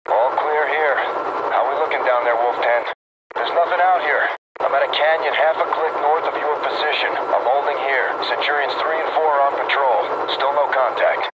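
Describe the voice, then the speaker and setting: Man as helicopter pilot through loud rotor noise. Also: mid-range voice, fairly even intonation, normal speed, lightly acted. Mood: watchful, steady. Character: alert male military helicopter pilot supporting troops. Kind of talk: military radio chatter, game acting. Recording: dull radio sound, loud helicopter noise.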